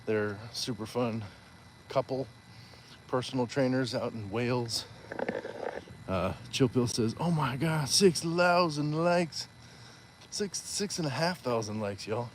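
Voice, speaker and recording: A man's voice: mid-range voice, lively intonation, slow, slight background noise. A man hosting a live stream while walking outdoors, chatting casually and reading viewer comments aloud with playful asides. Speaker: livestream host.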